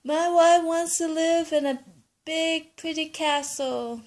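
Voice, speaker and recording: A woman voicing a meek old grandpa in a bedtime story, in a high sing-song plea. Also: fairly even intonation, slow, a little mellow. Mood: pleading.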